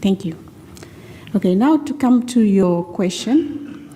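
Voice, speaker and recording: A woman from Senegal, a mathematician on a conference panel, speaking in a slow, measured way with many pauses. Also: mid-range voice, lively intonation, mellow, husky. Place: large conference hall. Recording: reverberant hall with faint ambience.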